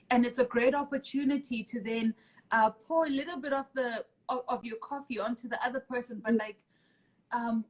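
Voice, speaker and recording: A woman's voice: on the high side, poor audio. A woman hosting a casual interview in an effusive, emphatic storytelling way. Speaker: podcast host.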